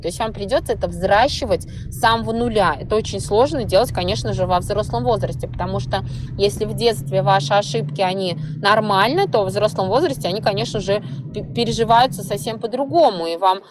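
A woman's voice: medium pitch, fairly quick, noisy background. A woman hosting a podcast, talking conversationally and expressively in a monologue. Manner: expressive, often acts out imagined inner voices. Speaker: podcast host.

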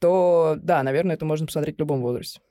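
A young woman chats quickly as a podcast co-host, recorded close and dry. Her talk is informal and full of slang, like telling stories to friends. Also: deep voice, warm tone, no echo. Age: young adult. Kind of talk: casual chat.

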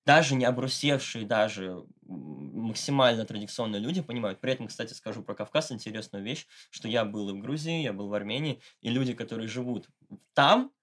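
A man's voice: lowish voice, lively intonation, fairly quick, a bit bright. A man giving a casual, slangy and ironic interview as a podcast guest. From Russia, Moscow. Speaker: interview guest, satirical blogger.

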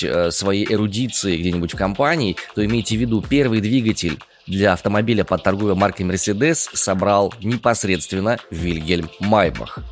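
A man from Siberia co-hosting a morning news podcast over a video call, chatty and teasing. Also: low-pitched. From Siberia, Russia. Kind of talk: news podcast presenting and banter.

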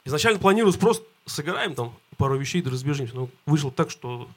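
A man's voice: a little high, expressive, fast. A male band member telling a rambling story with many fillers and restarts. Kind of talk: casual storytelling.

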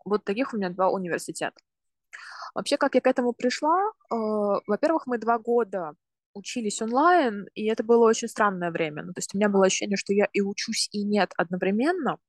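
A woman in her twenties from Russia, a university student and podcast guest, talking casually and explaining things step by step, often pausing to rephrase. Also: medium pitch, animated, dry recording. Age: 20s.

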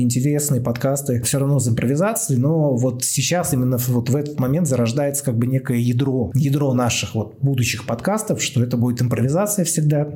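A man's voice: mid-range voice, mellow. A man hosting a casual, improvised podcast in a rambling, blunt way, repeating words for emphasis. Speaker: podcast host, doctor.

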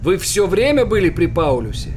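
High-voiced earnest Soviet lieutenant, played by a man, noisy. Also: very animated, moderate pace. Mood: probing. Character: earnest young male Soviet senior lieutenant, dutiful and firm. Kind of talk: radio play acting.

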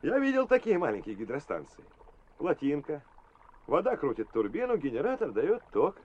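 Friendly working man, highish swooping voice, easygoing and encouraging, radio drama. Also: huge pitch swings, normal speed, darkish tone. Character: friendly adult power-line worker, encouraging. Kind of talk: radio play acting. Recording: muffled, noisy old radio play.

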